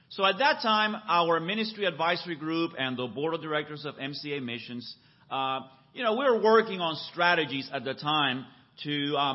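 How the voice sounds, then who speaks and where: An older man from the United States gives a conversational church talk to a congregation, with many fillers and tag questions. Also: a little high. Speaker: church mission director. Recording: muffled lo-fi recording.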